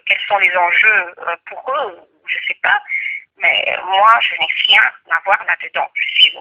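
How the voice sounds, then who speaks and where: A woman's voice: lowish voice, bright, forceful tone, breathy edge, low-quality recording. A woman in her forties from DR Congo, answering interview questions hesitantly with many fillers and indignant denials.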